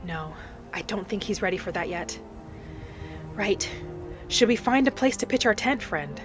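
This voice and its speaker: A woman playing a gentle, earnest female wood-elf adventurer, musing aloud as she narrates a roleplay game in character. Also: mid-range voice, animated, normal speed, over music, close to an everyday voice. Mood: thoughtful.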